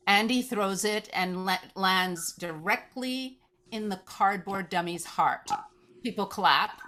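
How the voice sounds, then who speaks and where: A woman's voice: medium pitch, slow pace, bright, forceful tone, a little hiss or hum. A woman reading stage directions aloud as scripted narration at a screenplay table read.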